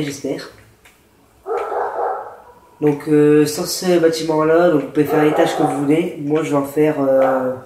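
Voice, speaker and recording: A man with a cold and a sore throat, hosting a casual gameplay tutorial, explaining in a rambling, hesitant way. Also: on the high side. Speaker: gaming channel host. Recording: roomy echo with faint noise.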